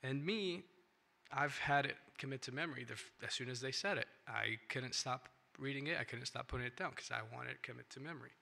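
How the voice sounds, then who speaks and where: Bright-voiced young man giving testimony. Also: medium pitch, fairly quick, vocal fry. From India. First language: non-English first language. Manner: earnest, rambling with restarts and "right?" tags. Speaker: church speaker. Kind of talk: personal testimony talk. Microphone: handheld or stand microphone.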